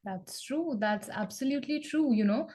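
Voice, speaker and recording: A woman English trainer explaining in a measured, encouraging way and checking in with "right?" as she goes. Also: high-pitched, lively intonation, dark tone. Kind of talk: conversational interview practice.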